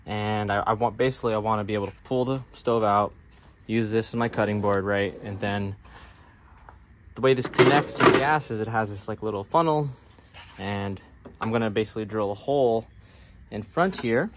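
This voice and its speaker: A man's voice: on the low side, normal speed. Man, casual DIY talk, noisy recording. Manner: informal, thinking aloud. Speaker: DIY vlogger. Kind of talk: casual how-to narration. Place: outdoors in a residential driveway. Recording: clear background noise with clicks.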